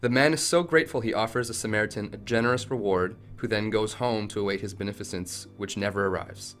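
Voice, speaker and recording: A man's voice: on the low side, quick pace, fairly bright tone, soft background music, lightly acted. A man playing a principled, defiant tech company chief held captive in a radio play, arguing firmly and articulately. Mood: measured, moralizing. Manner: firm, argumentative, articulate.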